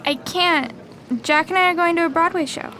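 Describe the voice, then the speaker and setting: A gracious young wife from Brazil in a radio play, played by a woman. Her voice is very high, polite and earnest, with faint noise behind it. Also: normal speed, sharp tone. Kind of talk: radio drama acting.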